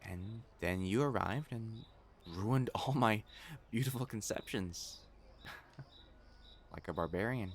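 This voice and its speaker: A man's voice: lowish voice, slow, pauses often, a little hiss or hum. A man playing a prim young matchmaker fiancé in a romantic audio roleplay, formal and earnest in speech, easily flustered. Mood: tender, confessional. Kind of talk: intimate scripted romance roleplay acting.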